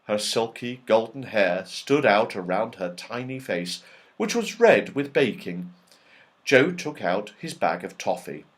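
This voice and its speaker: A man reads a children's story with expressive, dramatic delivery. His pitch moves in lively swings, and he keeps an unhurried pace. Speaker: audiobook reader. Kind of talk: animated children's storybook narration.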